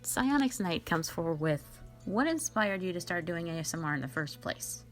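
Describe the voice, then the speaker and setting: A woman's voice: lowish voice, animated, moderate pace. A woman in a casual question-and-answer style, reading out fan questions and replying in a playful, rambling, self-deprecating way. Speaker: ASMR and audio roleplay voice creator. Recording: faint music behind the voice. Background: faint background music.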